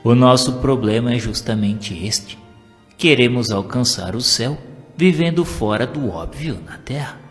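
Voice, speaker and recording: A man's voice: medium pitch, measured pace, voice pushed up, close to an everyday voice. A man with a Brazilian accent voicing a humble, kindly, wise male medium who answers patiently in a dramatized story. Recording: long reverb, music behind.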